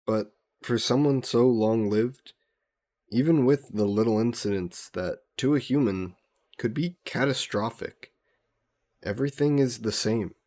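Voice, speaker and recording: A man playing a gentle, wistful immortal vampire scholar in an intimate audio roleplay, courteous and reflective, musing with hesitant pauses. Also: mid-range voice, close to an everyday voice.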